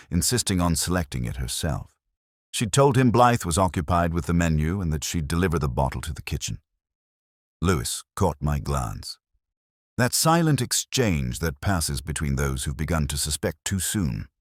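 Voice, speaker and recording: A man narrating a detective mystery in the first person as an ageing, contemplative detective, in a measured, literary, reflective manner. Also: low-pitched, big pitch swings, no room sound, clean studio sound. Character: ageing male detective, melancholy, contemplative, world-weary.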